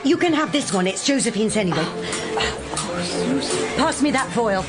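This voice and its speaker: A spirited woman detective in a radio drama, played by an actress. Her voice sits high and swoops freely with wry, impulsive cheer, over a clear bed of music. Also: expressive, normal speed, close to an everyday voice. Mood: bold, urgent.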